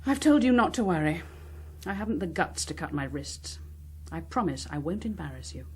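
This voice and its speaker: A woman's voice: lowish voice, expressive, normal speed, a little hiss or hum, lightly acted. An actress playing a bitter, proud, defiant woman, sardonic and clipped, in a naturalistic political drama. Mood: quietly wounded.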